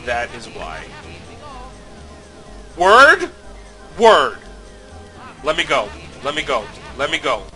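Male let's-play host, stuffy from a cold, crisp bright tone, casual and foul-mouthed, prone to shouting. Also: fairly high pitch, slow pace, long pauses, soft background music. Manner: excitable, swears a lot, loud outbursts. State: a little sick, slightly nasal. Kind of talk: casual gameplay commentary.

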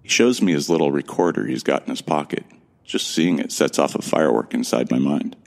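A man with an extremely deep, gravelly voice narrates a sci-fi audio drama in the first person. He sounds earnest and a little lost as he tells the story. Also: brisk. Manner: earnest, bewildered. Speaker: narrator and lead actor.

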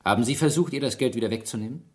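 An actor playing a sharp police inspector in a radio drama, pressing his questions methodically. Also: mid-range voice, very fast, close to an everyday voice. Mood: probing. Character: persistent, sharp male police inspector interrogating suspects.

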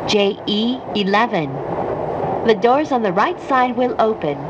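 A woman's voice: animated, slow. A woman train announcer reading scripted station announcements in a formal, carefully enunciated way. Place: inside a train car, played over speakers. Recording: muffled, noisy recording inside a moving train. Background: train rumble and noise.

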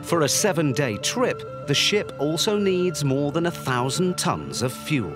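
Man narrating a documentary with lively pitch. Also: unhurried. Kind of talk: scripted narration. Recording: music under the voice. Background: background music.

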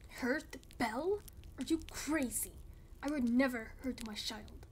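A woman's voice: sits high, a little slow, slight background noise. A woman voicing a menacing, manipulative, indignant father in an amateur game roleplay. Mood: outraged, accusing. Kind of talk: amateur Minecraft roleplay voice acting.

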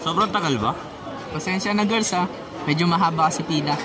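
A man's voice: on the high side, brisk, crowd noise, close to an everyday voice. A man playing a cocky, carefree, mischievous teenage schoolboy with a casual, dismissive manner in a radio drama. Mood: apologetic. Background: background music.

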